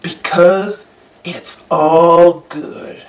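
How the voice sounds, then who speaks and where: A woman's voice: lowish voice, fairly even intonation, very slow, voice pushed down. A woman voicing a cheerful, easygoing young male cat from a children's storybook, chanting in a repetitive sing-song. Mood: carefree, upbeat. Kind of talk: children's storybook character singing. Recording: echoey, distant, with faint music.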